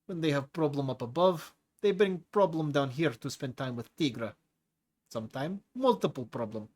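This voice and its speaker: A cocky, hulking clawed prisoner growls out broken English in a thick accent, as a male narrator puts him on. His pitch swings wide when he gets worked up, and he savors every boast. A storybook creature voice. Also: animated, moderate pace, darkish tone. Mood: boastful. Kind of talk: audiobook character voice.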